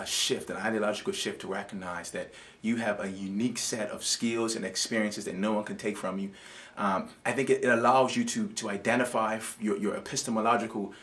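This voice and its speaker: A man's voice: on the low side, a bit bright. A man with a Bermudian accent, an education scholar answering interview questions reflectively and often repeating words as he thinks.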